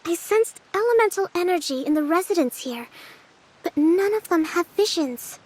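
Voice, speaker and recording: A woman voicing a gentle, thoughtful young female general who reasons aloud in a measured, composed way for a video game. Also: very high-pitched, expressive, low-quality recording. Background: faint music.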